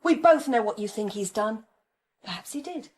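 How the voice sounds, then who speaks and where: An actress playing a weary, defiant young pregnant woman in a radio crime drama, husky, with a wry, knowing manner. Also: fairly high pitch, lively intonation, moderate pace, darkish tone. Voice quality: husky, breathy.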